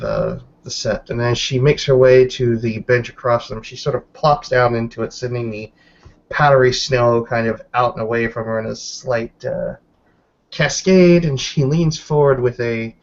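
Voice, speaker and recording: A man's voice: mid-range voice, creaky, highs cut off. A man playing in a tabletop roleplaying game, improvising narration of a character's actions in the third person.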